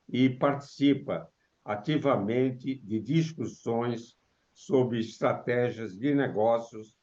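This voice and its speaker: A male professor hosting an interview, reading a formal introduction and posing questions in a measured way. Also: medium pitch, darkish tone, lo-fi sound.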